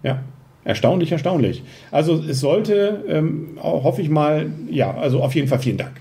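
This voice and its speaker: A man's voice: fairly high pitch, expressive, normal speed, dark tone. A man hosting a board game podcast, chatting and joking casually with colleagues at a party. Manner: joking, chatty, lots of fillers. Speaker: podcast host. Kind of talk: casual chat. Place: office Christmas party room.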